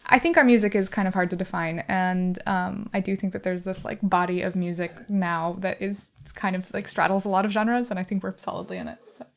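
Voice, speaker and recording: A woman's voice: medium pitch, steady tone, a little fast, vocal fry. Chatty young woman with frequent fillers. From United States. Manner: chatty, laughing, lots of fillers. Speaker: band member, interview guest. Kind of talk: casual interview chat.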